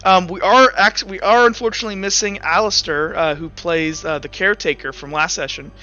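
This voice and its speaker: A man's voice: sits high, fairly quick, sharp tone. A young man as game master, narrating the scene in second person with hesitant fillers and restarts. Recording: slightly muffled, with faint music.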